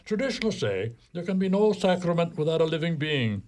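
A man playing a wry, learned Irish townsman in a radio play, measured and wordy. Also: a little high, big pitch swings, a little mellow.

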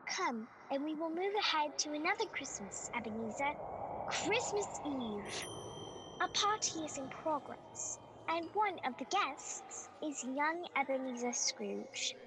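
A woman's voice: high voice, sing-song, unhurried, noisy background, heavily acted. A woman playing a knowing, teasing spirit guide with an air of authority in a radio play. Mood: chiding. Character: knowing ghostly spirit guide, gently chiding.

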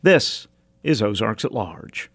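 Animated male radio host, dry studio sound. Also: fairly low pitch, slow pace, vocal fry, slightly rough, dry recording. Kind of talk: hosting a public radio magazine show. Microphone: close studio mic.

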